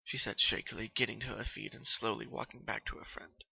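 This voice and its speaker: A man's voice: fairly low pitch, fairly even intonation, brisk, vocal fry, bright, forceful tone, breathy edge, no room sound, dull audio. A man reading scripted story narration as a dramatic narrator.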